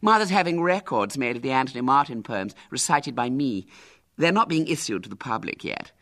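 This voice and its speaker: A man's voice: medium pitch, expressive, fairly quick, bright, forceful tone. A reader voicing a spoiled, self-important famous little boy with a haughty manner. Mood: boastful.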